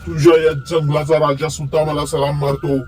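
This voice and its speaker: Man as a swaggering higher-pitched cartoon wolf. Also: little pitch movement, fairly quick, over music, lightly acted. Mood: smug. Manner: bossy, menacing, smug. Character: swaggering conceited male wolf, jungle bully. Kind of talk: children's cartoon story acting.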